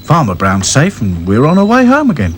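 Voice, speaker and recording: A man's voice: mid-range voice, wildly swooping pitch, brisk, slight background noise, voice pushed up. A man doing a cheerful modest rag doll.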